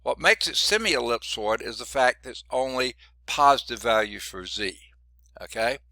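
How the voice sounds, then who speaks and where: Stuffy-nosed math teacher guy, kinda low and gravelly, dry close sound, rambles and keeps saying okay. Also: animated, moderate pace, pushed and bright, no echo. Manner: chatty, digressive, checks in with okay. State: congested. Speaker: math instructor. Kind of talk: classroom lecture. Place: classroom.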